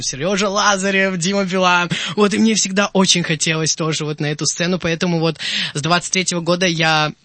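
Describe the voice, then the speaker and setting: A man's voice: on the high side, bright, forceful tone, no echo. A young singer-songwriter man from Azerbaijan, a guest on a radio show, talking in an upbeat, eager way in a studio. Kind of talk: casual studio interview. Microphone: studio microphone.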